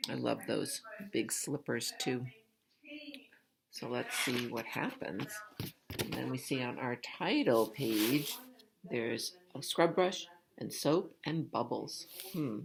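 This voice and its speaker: Very low-voiced woman reading to children. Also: animated, slow, vocal fry, a crowd in the background, low-quality recording. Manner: instructive, asks the listener questions. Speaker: teacher reading aloud. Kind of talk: storybook read-aloud for young children.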